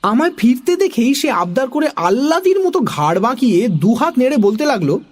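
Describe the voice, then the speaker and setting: A woman narrating a comic children's story in an expressive storytelling style. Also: fairly high pitch, moderate pace.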